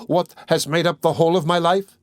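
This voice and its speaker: A man's voice: medium pitch, lively intonation, normal speed, no echo, clean studio sound, voice pushed up, lightly acted. A man reading aloud, voicing an earnest, spirited young woman who pleads with feeling. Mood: indignant. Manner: impassioned, pleading.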